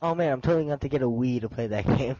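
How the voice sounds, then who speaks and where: A man's voice: mid-range voice, very fast. A young man co-hosting a gaming podcast, blunt and joking, heard through a noisy lo-fi recording. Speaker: podcast co-host. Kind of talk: casual gaming podcast chat. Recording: dull lo-fi audio with noise.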